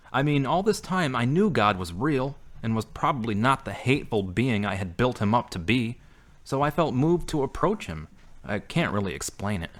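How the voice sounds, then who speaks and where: A man's voice: mid-range voice, fairly bright tone. A man playing an earnest, grieving former carpenter who is seeking faith again, in an amateur audio drama.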